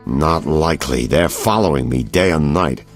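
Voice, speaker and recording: An actor playing an anxious, hunted detective in a video game, with urgent, clipped delivery. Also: male voice, a bass voice, normal speed, soft background music. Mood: tense, urgent.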